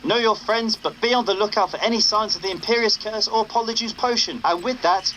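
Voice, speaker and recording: An actor playing a cheeky young rebel radio host, broadcasting upbeat but wary patter over a noisy signal. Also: male voice, high voice, brisk, pushed and bright. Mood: urgent, rallying.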